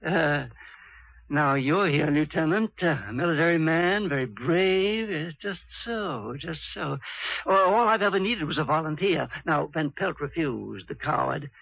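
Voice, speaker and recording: An actor playing an eccentric, obsessed old scientist in a classic radio drama, with an American accent and theatrical, halting emphasis. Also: male voice, fairly high pitch, animated, creaky, no room sound, muffled recording, full character voice. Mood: eager, coaxing. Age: older. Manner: theatrical, repetitive muttering, emphatic pauses.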